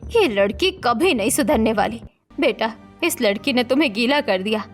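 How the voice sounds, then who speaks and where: A woman's voice: fairly high pitch, animated, moderate pace, lightly acted. An exasperated, scolding mother, played by a woman, in a cartoon family story. She sounds motherly, with music playing underneath. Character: exasperated mother scolding her mischievous daughter. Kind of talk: Hindi cartoon story acting.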